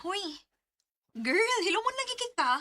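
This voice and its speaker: Chatty young cebuano girlfriend for radio drama, high girly voice, super sharp, dry sound. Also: very animated, measured pace, no echo, full character voice. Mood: playful, teasing. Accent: Cebuano Filipino. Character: Alice, chatty teasing young girlfriend and best friend. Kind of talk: Bisaya radio drama acting.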